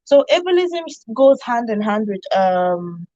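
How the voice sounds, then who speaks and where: A woman's voice: a little high, sing-song, measured pace, mellow, no room sound, lo-fi sound. A woman in an unscripted radio panel discussion, talking emphatically and repeating phrases. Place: radio studio.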